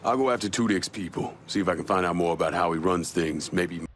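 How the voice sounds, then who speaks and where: A man playing a tough, calm young vigilante in a crime drama game, speaking in a dry, terse way. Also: quick pace, a little hiss or hum, close to an everyday voice. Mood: steady, concerned. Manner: dry, terse, low-key. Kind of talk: dramatic video game acting.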